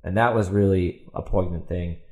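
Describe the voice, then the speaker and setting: Guy podcast host ranting, kinda deep voice, echoey room, sarcastic and hammering his points. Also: steady tone, moderate pace, a little mellow. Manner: sarcastic, emphatic, repeats himself for stress. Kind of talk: opinionated rant commentary.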